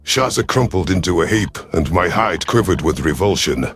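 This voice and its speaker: A man's voice: deep voice, animated, normal speed, fairly bright tone. A man reading a scripted first-person science fiction story as a narrator.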